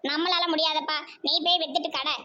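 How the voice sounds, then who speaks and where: Woman doing a bright, high-voiced bossy cartoon mother. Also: steady tone, brisk, lo-fi sound, a little hiss or hum. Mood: dismissive. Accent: southern Tamil Nadu. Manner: brash, chattery, mocking. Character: bossy, teasing, sharp-tongued village mother. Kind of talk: amateur cartoon comedy voice acting.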